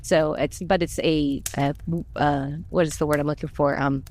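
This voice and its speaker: A woman's voice: low-pitched, fairly even intonation, moderate pace, darkish tone, slight background noise. A woman chatting casually as a podcast voice actor, informal and self-effacing, rambling a little as she goes. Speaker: voice actor on an audio drama podcast. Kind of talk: casual chat.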